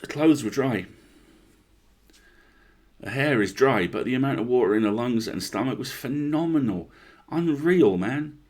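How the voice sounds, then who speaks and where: A man's voice: medium pitch, expressive, a little slow, pauses often, darkish tone, voice pushed up. A man voicing a blunt, rattled park ranger in a horror story, confiding and swearing in an incredulous, conversational way.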